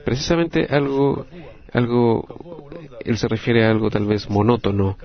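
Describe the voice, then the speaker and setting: A man with a Latin American Spanish accent interpreting a group discussion live, in halting, phrase-by-phrase delivery. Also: medium pitch, expressive, highs cut off.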